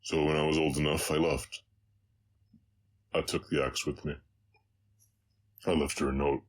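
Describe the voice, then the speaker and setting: Male actor for haunted, soft-hearted orc cowboy, audio drama. Rumbling bass, unhurried and hesitant, slight Southern US accent. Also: little pitch movement. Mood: somber, tender. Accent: slight Southern American. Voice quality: very deep. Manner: halting, hesitant, reflective. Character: gruff, gentle orc cowboy, haunted by his past. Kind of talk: slow intimate audio roleplay monologue.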